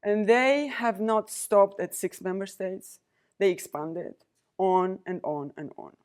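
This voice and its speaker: A woman delivers a prepared lecture to a university audience. She speaks at a middling pitch, in a measured, deliberate way, and pauses between the items she lists. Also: darkish tone. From Poland. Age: 40s. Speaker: guest lecturer, diplomat.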